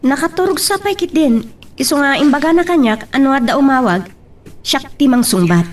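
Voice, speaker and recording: A woman's voice: high-pitched, expressive, a little fast. A woman with an Ilocano Filipino accent playing a worried young cousin and confidante in an audio drama. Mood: polite. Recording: faint background noise.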